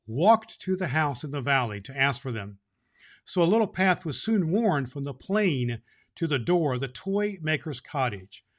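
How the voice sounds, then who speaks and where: A man playing Santa Claus, a jolly old man who reads storybook tales to children and hosts the show in an animated manner. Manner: jolly, animated, booming ho-ho laughs. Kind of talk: storybook reading and address to children.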